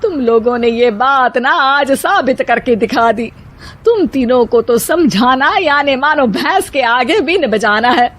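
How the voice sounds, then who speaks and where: एक महिला की आवाज़ में ऊँचे सुर वाली झल्लाई बूढ़ी सास. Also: female voice, high-pitched, animated, a little slow, a bit bright, heavily acted. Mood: exasperated lament. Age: older. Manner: scolding, wailing, dramatic. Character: loud, exasperated elderly mother-in-law, bossy and melodramatic. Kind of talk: hammy comic Hindi moral-story acting.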